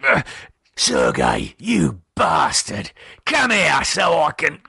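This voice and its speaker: A man's voice: on the high side, huge pitch swings, takes it slowly, creaky, pushed and bright, breathy edge, pitched above the performer's own voice, heavily acted. A man voicing a defiant, swearing cockney thug with a Cockney accent. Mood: angry. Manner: aggressive, swearing.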